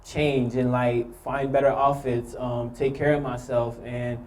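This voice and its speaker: A young man from Haiti nervously telling his own story to an audience, with many pauses and ums. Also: medium pitch, little pitch movement, a little mellow, slight background noise. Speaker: youth speaker sharing his story. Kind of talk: personal testimony speech.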